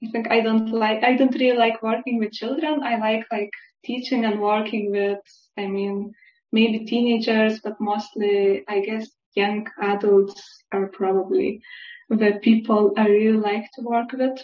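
A young woman with a Lithuanian accent answers casually as an interview guest, thinking aloud. Her voice is fairly high and flat in melody. The recording is echoey. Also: measured pace. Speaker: interview guest, musician and scientist. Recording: dull, echoey room sound.

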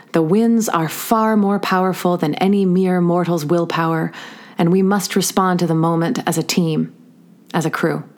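A woman giving a scripted introduction as a theatre host, measured and articulate. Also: fairly low pitch, a little mellow. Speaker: theatre company host introducing audio drama. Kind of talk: scripted narration.

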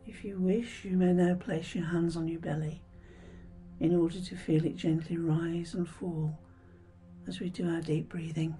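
Soothing woman doing guided meditation, hushed n breathy, lowish warm voice, music behind her. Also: flat delivery. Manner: soothing, hushed, breathy delivery with gentle pauses. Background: background music.

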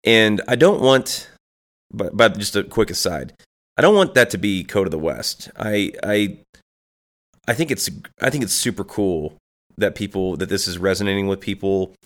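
A man's voice: on the low side, animated, normal speed, fairly bright tone. A man hosting his own podcast, congested from allergies, rambling through a reflective solo talk and thinking aloud as he goes. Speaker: podcast host.

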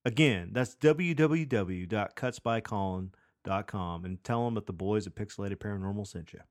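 A man's voice: on the low side, moderate pace. A man co-hosting a podcast, doing sponsor plugs in brash, profane, hype-man chat. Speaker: podcast co-host.

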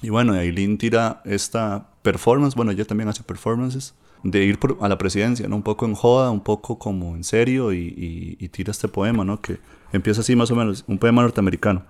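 A man's voice: fairly low pitch, a little fast, darkish tone. A man, chatting casually about books on a podcast, full of fillers and tag questions. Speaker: podcast host discussing books. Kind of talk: casual literary chat.

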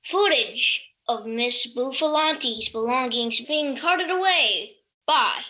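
Portentous TV investigative anchor, played by a woman, bright and slow; mock broadcast in children's radio drama. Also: high voice, lively intonation, exaggerated voice. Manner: measured, portentous announcing. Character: formal television investigative news presenter.

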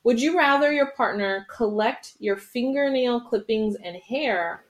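A woman's voice: fairly high pitch, measured pace. A woman hosting a casual podcast chat, asking playful, probing questions of her guests. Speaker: podcast host.

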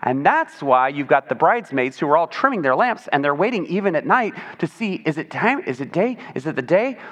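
A man with a high voice giving an explanatory sermon in a conversational, informal way, tossing in rhetorical questions. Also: big pitch swings, fairly quick, fairly bright tone. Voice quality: high-pitched voice. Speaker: preacher. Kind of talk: sermon, explanatory Bible teaching. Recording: long hall reverb.

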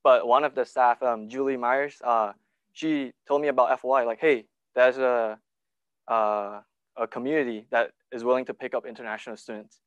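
A man's voice: mid-range voice, steady tone, normal speed, clear, resonant tone, no room sound, highs cut off. A young man from Malaysia, a student giving a nervous personal testimony full of ums. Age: young adult.

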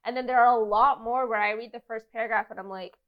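A woman with a fairly high voice talks casually as a podcast guest. She is chatty and conversational, slipping into imagined quotes and qualifying what she thinks. Her audio is a little dull and lo-fi. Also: steady tone, fast talker.

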